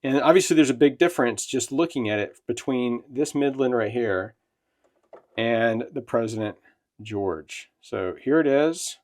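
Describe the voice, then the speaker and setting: A middle-aged man hosts a relaxed demo from his home. He chats, asks simple questions and nods along. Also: medium pitch. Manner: chatty, asks questions, quick nods of agreement. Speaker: channel host. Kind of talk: casual product demo. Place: home, indoors and outdoors.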